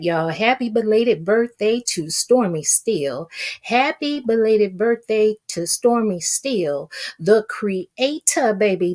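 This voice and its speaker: A woman giving casual gossip commentary as an online host, sassy and folksy, often repeating phrases for emphasis. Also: medium pitch. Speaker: YouTube commentator and channel host.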